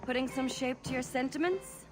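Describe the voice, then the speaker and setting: A woman's voice: high-pitched, moderate pace, over music, lightly acted. An actress playing a saucy, flirtatious woman of the pirate age, teasing and bawdy, in a video game period drama.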